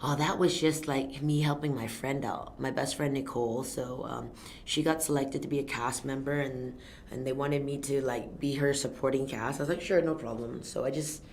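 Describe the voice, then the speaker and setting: Low-voiced woman telling stories with laughs. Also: moderate pace, slight background noise. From Thailand. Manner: laughing, animated storytelling. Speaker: fight event announcer. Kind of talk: casual interview chat.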